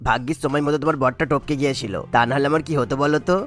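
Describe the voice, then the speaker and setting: Fast-talking sly old husband acted by a man. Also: on the high side, steady tone, fairly bright tone, lightly acted. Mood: smitten, wheedling. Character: sly henpecked old cheating husband, sweet-talking father-in-law. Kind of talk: cartoon voice acting.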